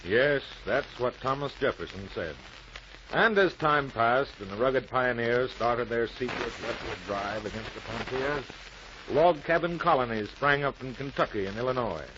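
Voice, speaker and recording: A man's voice: mid-range voice, normal speed. A muffled old radio recording with faint noise carries an American man's narration. He is solemn and oratorical, delivering scripted lines with dramatic weight in a 1940s announcer style.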